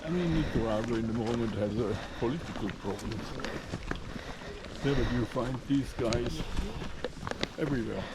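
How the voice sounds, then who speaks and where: A man's voice: medium pitch, normal speed, pauses often. A man chatting casually in a blunt, opinionated way. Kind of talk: casual chat. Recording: loud outdoor noise.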